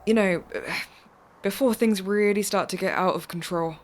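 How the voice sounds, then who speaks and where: An actress in an audio drama playing a mysterious woman driver who is calm, insistent and reassuring. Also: mid-range voice, a little slow, lightly acted. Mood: calm, ominous.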